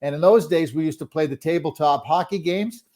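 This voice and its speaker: A man of seventy from Montreal, a sports broadcaster and author, telling stories as a guest in a relaxed interview, with frequent fillers, restarts and chuckles. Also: a little high, a little fast. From Montreal, Quebec, Canada. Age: 70s. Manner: hesitant, many uh fillers and restarts, chuckles often.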